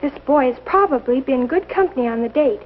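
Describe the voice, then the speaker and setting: An outspoken, teasing teenage girl in an old classroom film. She speaks high and with very lively, pointed intonation. The sound is muffled and dated. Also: brisk. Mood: skeptical. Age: young adult. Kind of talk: 1950s instructional film acting.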